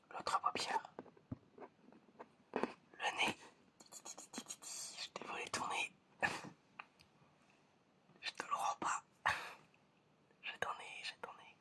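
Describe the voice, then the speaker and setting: An ASMR performer whispering a roleplay exam in a hesitant murmur, often repeating short phrases. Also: sharp tone. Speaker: ASMR performer playing an examiner.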